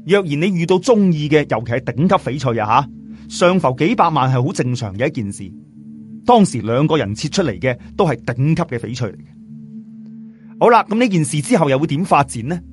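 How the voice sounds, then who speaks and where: A man tells a story in Cantonese-accented speech over faint music. His pitch moves in lively swings, and he slips easily between scripted narration and chatty, explanatory asides. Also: fairly quick. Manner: conversational, explanatory. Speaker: audiobook storyteller.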